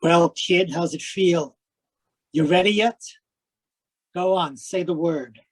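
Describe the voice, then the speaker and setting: Villain: menacing gunman for noir audio drama, higher-pitched, unhurried, taunting gangster patter. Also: low-quality recording. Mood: gloating. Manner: slow, taunting gangster slang, calls people pal. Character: bald male gunman, menacing, taunting ex-convict killer. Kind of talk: noir radio-play acting.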